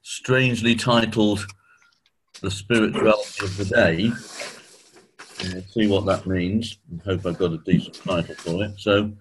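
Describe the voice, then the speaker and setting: A man with a croaky voice, clearing his throat often as he leads a church study session, slowing down on key points. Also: on the low side, fairly even intonation, noisy background. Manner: measured, slows down on key points. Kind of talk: spoken teaching talk.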